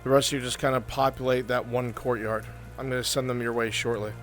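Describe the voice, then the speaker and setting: A man's voice: medium pitch, level tone, fast, soft background music. A man working as game master, narrating and directing a live fantasy roleplay session. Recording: noisy live game stream audio.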